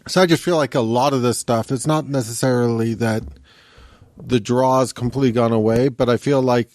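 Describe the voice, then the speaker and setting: A man co-hosting a casual podcast, explaining his points in a measured, conversational way. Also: mid-range voice, steady tone, darkish tone, no room sound, studio quality. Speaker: podcast co-host. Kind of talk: casual panel chat.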